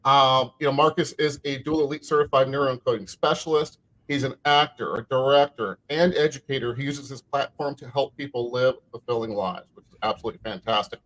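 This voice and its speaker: A man hosts a podcast in a loose, casual way, leaning on fillers and repeating himself as he talks. His voice sits in an ordinary middle pitch. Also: fairly quick, a bit bright, rough recording. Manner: friendly, with many fillers and repeated words. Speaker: podcast host. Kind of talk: casual chat.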